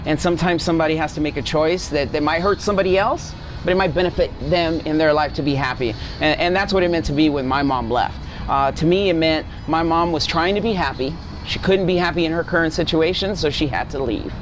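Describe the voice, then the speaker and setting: Male guest, forty, from Guatemala, slightly high voice, earnest storyteller, clear traffic noise behind. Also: fast talker, hardly any pauses. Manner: earnest, seeks agreement with tag questions. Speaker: interview guest. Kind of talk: personal storytelling in casual interview. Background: street traffic and vehicles.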